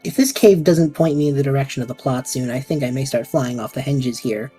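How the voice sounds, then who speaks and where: A woman's voice: extremely low pitch, a little fast, mellow, husky, faint music behind. A woman voicing a restless young male time traveler who whines and rambles dramatically, in an audio drama. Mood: rambling.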